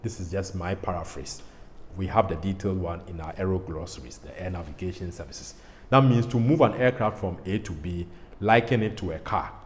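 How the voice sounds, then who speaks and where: A man's voice: low-pitched, fairly quick. A man with a Ghanaian accent presenting an educational explainer in an emphatic way, repeating his phrases. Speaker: pilot host. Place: indoor studio. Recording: echoey hall-like studio, faint noise.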